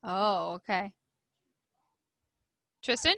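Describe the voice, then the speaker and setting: A woman teacher reading a storybook aloud expressively to children, emphasizing words and pausing to instruct and put questions to them. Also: mid-range voice, pushed and bright. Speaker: elementary school teacher.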